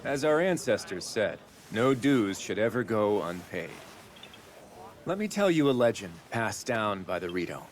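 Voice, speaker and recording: A man's voice: lowish voice, very animated, measured pace, slight background noise. An actor playing a steadfast, teasing male bird-folk warrior in a video game, with a wry, assured manner. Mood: earnest.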